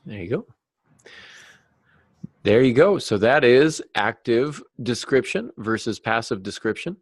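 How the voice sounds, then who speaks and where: A man's voice: mid-range voice, lively intonation, unhurried, no room sound, clean studio sound. A man co-hosting a podcast in a casual, conversational way. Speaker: podcast co-host and novelist.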